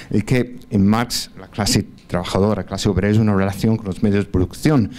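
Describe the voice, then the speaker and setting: A man's voice: sits low, darkish tone. A man in his sixties, a non-native Spanish speaker, standing in a large lecture hall and putting a question from the floor to a panel through a handheld mic, hesitant with many fillers and restarts. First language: not Spanish. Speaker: audience member at a conference. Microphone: handheld mic, standing in the audience.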